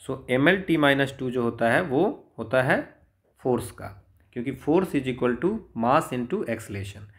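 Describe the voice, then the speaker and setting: A man's voice: medium pitch, animated, slow, fairly bright tone. A man with an Indian accent working step by step through exam problems as a physics tutor, explaining and often checking in. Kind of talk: step-by-step exam solution walkthrough.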